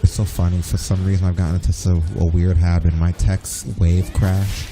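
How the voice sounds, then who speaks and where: A man's voice: low-pitched, flat delivery, moderate pace, noisy background. A man chatting casually while playing an online game with a friend, laid-back and rambling, swearing now and then. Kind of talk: casual gaming chat. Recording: game music playing loudly behind. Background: game music.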